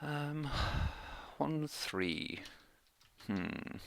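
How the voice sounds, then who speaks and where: A man's voice: fairly high pitch, animated, extremely slow, vocal fry, fairly bright tone, no room sound. A man giving casual let's play commentary, chatting and thinking aloud as he plays, close on the microphone in a small room. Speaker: gaming YouTuber, let's play host.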